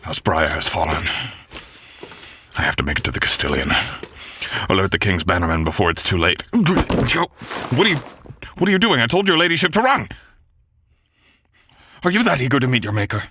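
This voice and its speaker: A man's voice: lowish voice, animated, normal speed, long pauses, sharp tone, slight background noise. A man voicing a young male knight, wounded, gallant and protective, speaking in an old-fashioned courtly way. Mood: commanding. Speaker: voice actor. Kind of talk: boyfriend ASMR audio drama.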